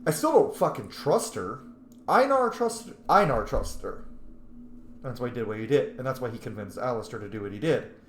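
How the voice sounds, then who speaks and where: A man's voice: medium pitch, sing-song, moderate pace, fairly bright tone. A man giving informal gameplay commentary, thinking aloud as he role-plays and sometimes swearing. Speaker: game streamer, role-player. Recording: roomy echo, faint background noise.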